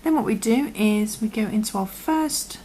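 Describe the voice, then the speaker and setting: Croaky-voiced woman teaching crochet. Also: mid-range voice, animated, normal speed, warm tone, a little hiss or hum. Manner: patient, often drops to a half-whisper while working. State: croaky from illness. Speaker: crochet instructor. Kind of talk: step-by-step tutorial.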